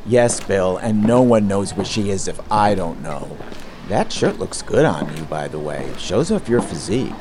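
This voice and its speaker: A male actor playing an excitable, catty and easily frightened sidekick in a campy audio comedy drama. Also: low voice, moderate pace, noisy background. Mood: flirty. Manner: theatrical, gushing, squeamish.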